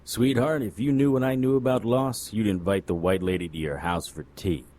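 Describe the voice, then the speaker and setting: A man's voice: on the low side, fairly even intonation, moderate pace, a little mellow, natural voice. A man playing a jaded occult investigator in a noir audio drama, with a dry, wry, world-weary delivery. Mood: sardonic, hard. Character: jaded, sardonic male hard-boiled occult investigator. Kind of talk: noir audio drama acting with first-person narration.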